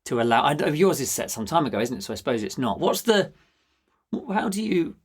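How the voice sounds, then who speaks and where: A man's voice: medium pitch, very animated, fairly quick, breathy edge. A man hosting a conversational interview, musing as he works his way toward questions. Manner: musing, tentative phrasing with tag questions. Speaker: podcast host.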